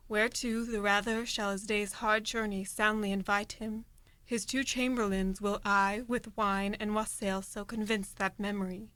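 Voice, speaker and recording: A woman's voice: medium pitch, a bit bright, dry recording, close to an everyday voice. A young woman acting a ruthless, ambitious noblewoman who goads in emphatic Shakespearean verse for a student radio play. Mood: resolute, persuasive. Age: young adult. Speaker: student actor.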